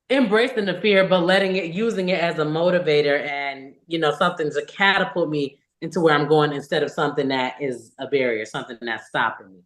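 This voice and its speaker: A young adult woman, a singer and podcast guest, talking candidly and animatedly about her life in a casual interview. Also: low voice. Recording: echoey room.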